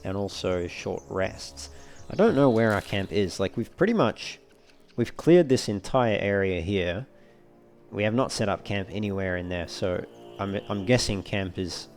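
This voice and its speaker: A man's voice: on the low side, creaky. A man giving casual commentary on a video game walkthrough, thinking aloud as he reads through menus. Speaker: let's player.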